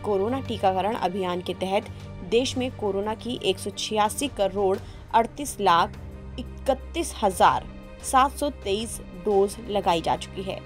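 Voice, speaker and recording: A woman's voice: mid-range voice, measured pace. Female news presenter, mid-range voice, formal scripted bulletin read over a music bed. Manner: formal, reading out figures. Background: background music.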